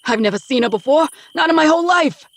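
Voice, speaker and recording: A woman voicing a panicked older captive father who shouts and pleads, denying everything. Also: extremely high pitch, drawn-out words, pitched above the performer's own voice, full character voice. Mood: frantic denial. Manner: desperate, shouting, protesting.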